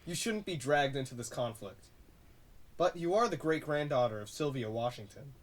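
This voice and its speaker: Guy acting secretive guarded man, grave n hesitant, lively up and down pitch, audio drama. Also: normal speed, close to an everyday voice.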